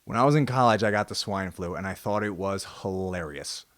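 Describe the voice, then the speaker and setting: A man with a very low, deep voice talks off the cuff as the host of his own show. He rambles and pokes fun at himself, now and then jumping into loud, joking outbursts. The recording is close and dry, with no room sound. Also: brisk, no echo. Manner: rambling, self-mocking, with sudden loud jokey bursts. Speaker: podcast host. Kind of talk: casual solo monologue.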